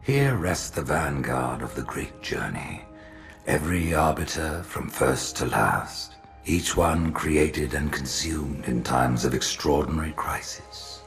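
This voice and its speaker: A man voicing an ancient alien high priest in a video game cutscene, speaking in a grave, commanding, measured way. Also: lively intonation, fairly bright tone, soft background music, full character voice. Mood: imperious. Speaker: game character.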